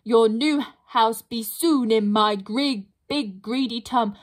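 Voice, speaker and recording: A woman putting on a slow boastful troll. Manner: slow, rumbling, ungrammatical speech. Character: huge boastful male troll, slow and greedy.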